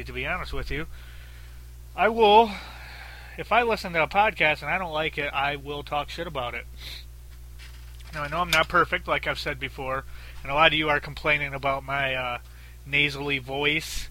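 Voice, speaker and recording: A man's voice: a little high, normal speed, bright, forceful tone, rough recording. A man with a very nasal voice, hosting a solo podcast in a blunt, profane, rambling way with lots of fillers. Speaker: podcast host. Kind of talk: casual solo podcast talk.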